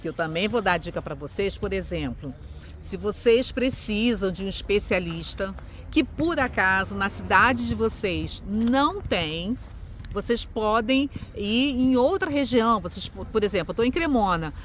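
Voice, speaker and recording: A woman's voice: mid-range voice, lively intonation, a little slow, a bit bright, slight background noise. A Brazilian woman with a Brazilian accent, hosting a vlog and chatting with her guest while talking straight to her viewers. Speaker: vlog host. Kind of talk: casual interview chat.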